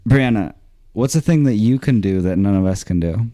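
A man's voice: on the low side, animated, normal speed, dark tone. A man hosting an improv comedy podcast, teasing his guests in playful banter. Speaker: podcast host.

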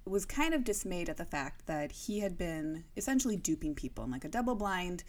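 A woman in young adulthood with a somewhat low voice, co-hosting a podcast. She talks casually and explains ideas, and her speech is full of fillers and restarts. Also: very animated, fairly quick, a little hiss or hum. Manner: chatty, explanatory, with many fillers. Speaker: podcast co-host. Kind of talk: casual chat.

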